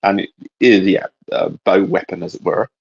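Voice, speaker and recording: A man co-hosting a casual geeky chat, speaking in halting, hedged phrases. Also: low-pitched, fairly even intonation, gravelly, breathy edge, muffled recording. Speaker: co-host of a hobby discussion show.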